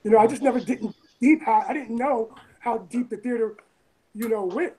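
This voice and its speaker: A woman from Brooklyn with a raspy voice, talking in a rambling, emphatic way in an interview, often repeating phrases. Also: on the high side, normal speed, dark tone, a little hiss or hum, poor audio. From Brooklyn, New York. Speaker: interviewee, former firefighter and EMT. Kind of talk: casual interview talk.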